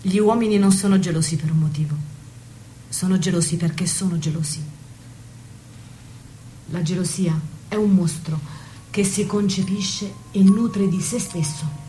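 A woman's voice: lowish voice, a little slow, pauses often, dark tone, slight background noise, lightly acted, low-quality recording. A woman acting a worldly, loyal confidante in an intimate stage-style drama, blunt, protective and indignant. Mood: knowing, cynical. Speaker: stage actress. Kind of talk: intimate theatrical radio drama.

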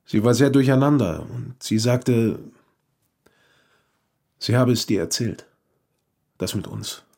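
Nervous polite man in a radio crime play, husky breathy voice, hesitant and earnest. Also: mid-range voice, animated, slow pace, darkish tone, full character voice. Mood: anxious, earnest. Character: David Sullivan, uneasy, well-mannered man confessing an affair. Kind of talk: German crime radio drama acting.

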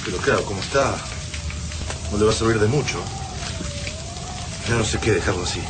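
A man's voice: fairly low pitch, brisk, noisy background, natural voice. A man playing an earnest, compassionate human resistance fighter in a radio drama, with an Argentine accent. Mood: resigned.

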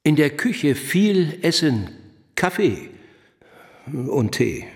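Pompous cultured gentleman in echoing hall. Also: a little high, sing-song, extremely slow, exaggerated voice. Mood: musing. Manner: pompous, erudite. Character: cultured, quotation-loving gentleman publisher. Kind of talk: radio play acting. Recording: reverberant hall.

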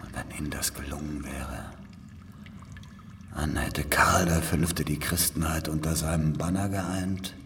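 An actor playing a weary, cynical old spy in a German radio drama, sighing and speaking in a deliberate, knowing way. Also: male voice, rumbling low, fairly even intonation, a bit bright, slight background noise. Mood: weary, explanatory.

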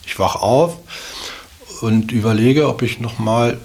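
A man's voice: mid-range voice, measured pace, a little mellow. A man talking casually and reflectively about himself, hesitantly thinking as he speaks. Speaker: interviewee. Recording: clear background noise, ambience.

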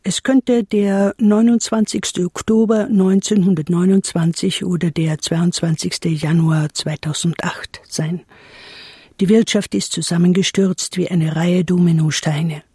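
An actress playing a bitter, sober chronicler in a radio play. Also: female voice, lowish voice, warm tone, slightly rough, no echo, studio quality, full character voice. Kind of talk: scripted radio play narration.

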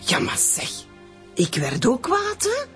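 A woman's voice: high voice, wildly swooping pitch, slow, a bit bright, soft background music, full character voice. An actress playing a chatty, easily indignant woman giving a colloquial witness statement in a radio crime drama. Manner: talkative, colloquial storytelling.

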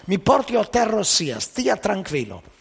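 A man voicing a stubborn older male traveler who speaks slow, broken Italian. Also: high voice, huge pitch swings, gravelly, breathy edge, pitched above the performer's own voice, full character voice. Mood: insistent. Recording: reverberant hall.

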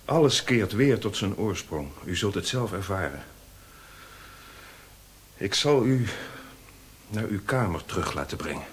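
A solemn, stately lord of a radio play. His voice sits fairly low and he speaks with formal courtesy, like one devoted to the past. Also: expressive, measured pace, pauses often, a little hiss or hum. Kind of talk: radio drama acting.

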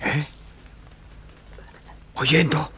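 A simple-minded native sidekick, very husky and rather high-pitched. He talks in broken, clipped phrases in the manner of an old radio serial. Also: steady tone, extremely slow, a bit bright, exaggerated voice. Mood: nervous, defensive. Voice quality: husky, raspy.

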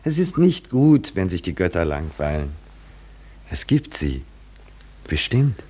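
A man in a 1950s German radio drama, playing an impassioned, philosophical poet-seer who speaks in fervent rhetoric with deliberate dramatic pauses. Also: low-pitched, big pitch swings, mellow, breathy edge, full character voice. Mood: earnest, urgent. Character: impassioned philosophical male poet-seer awaiting his blindness.

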